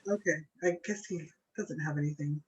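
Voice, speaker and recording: A woman moderating a family video call, prompting relatives with quick check-ins. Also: low voice, crowd noise. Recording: muffled lo-fi call audio.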